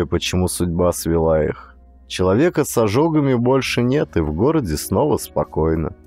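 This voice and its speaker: A man's voice: mid-range voice, dark tone. A man giving dramatic scripted narration as an audiobook narrator.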